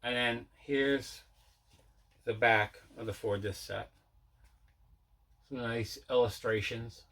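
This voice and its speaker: A man's voice: mid-range voice, steady tone, slow, long pauses, a bit bright. A man hosting a collecting show, chatting casually as he shows off what he picked up. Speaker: host of a collecting video show. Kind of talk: casual unboxing chat.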